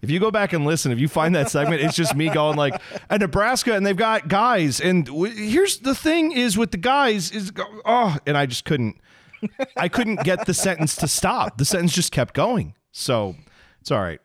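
A hoarse man in his thirties, co-hosting a casual soccer podcast from his home basement, telling rambling, self-deprecating stories. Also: high voice, big pitch swings, normal speed, fairly bright tone, dry recording, clean studio sound. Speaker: podcast co-host. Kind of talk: casual sports chat.

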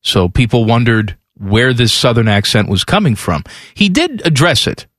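A man's voice: medium pitch, very animated, normal speed, fairly bright tone, no echo, studio quality. A man hosting a sports talk show, speaking in casual, bantering conversation. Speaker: sports radio host.

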